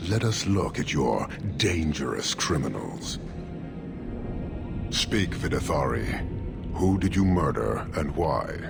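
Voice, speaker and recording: An imperious giant warrior leader for a video game. The voice is extremely low and creaky, and it moves slowly through terse, grave pronouncements. Loud music plays underneath. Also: male voice, big pitch swings, full character voice. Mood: stern, unyielding. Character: stern, imperious horned giant warrior commander. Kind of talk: video game cutscene acting.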